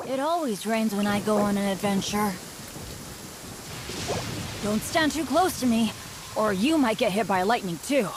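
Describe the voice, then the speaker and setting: A woman's voice: mid-range voice, lively intonation, unhurried, pauses often, over music, natural voice. A woman voicing an earnest, upbeat but unlucky young male adventurer in a video game. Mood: rueful warning. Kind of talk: video game character dialogue. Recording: clear rain and thunder noise behind voice.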